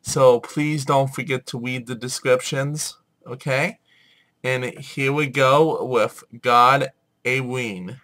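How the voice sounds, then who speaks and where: A man's voice: medium pitch, steady tone, takes it slowly, a bit bright. A man hosting a casual explainer video while he has a head cold and a sore throat, talking in an informal, apologetic way. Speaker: video host.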